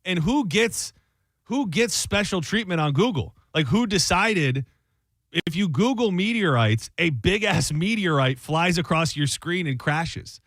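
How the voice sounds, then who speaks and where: A man's voice: sits high, expressive, unhurried, a bit bright, dry recording. A male radio host chatting casually in the studio, joking and rambling with his co-host. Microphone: studio microphone.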